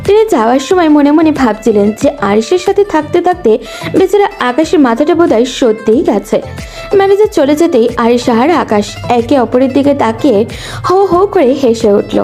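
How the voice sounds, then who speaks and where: A woman's voice: sits high, lively intonation, a little fast, dark tone, faint music behind. A woman giving scripted narration of a romantic story.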